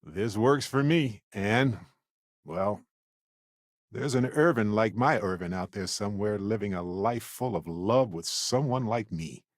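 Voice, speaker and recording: Wry, low, animated space explorer. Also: male voice, a little slow, no echo, studio quality. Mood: tender. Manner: wry, conversational, expressive. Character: wry, good-humored male space explorer companion. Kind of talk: video game character voice lines.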